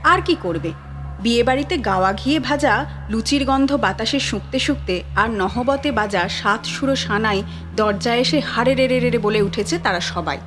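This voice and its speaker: A woman narrating a scripted ghost story in a lively, wry storytelling manner. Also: a little high, normal speed. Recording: sitar music bed behind.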